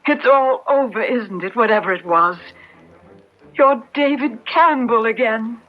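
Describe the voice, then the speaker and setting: A woman's voice: a little high, measured pace, natural voice. An actress playing an anxious, devoted woman in an old-time radio drama, earnest and solicitous. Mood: relieved, reassuring. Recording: muffled old radio broadcast with music.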